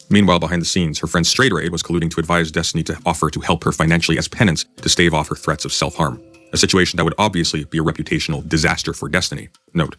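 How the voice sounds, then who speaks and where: Very deep, fast-talking male narrator. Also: fairly bright tone, no echo. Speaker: commentary video narrator. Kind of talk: scripted narration, video essay.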